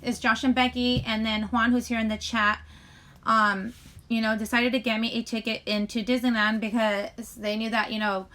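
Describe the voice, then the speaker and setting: A woman hosting a casual live chat, rambling to viewers in a halting way, often breaking off mid-sentence. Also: a little high, level tone, bright, forceful tone. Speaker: live stream host chatting with viewers.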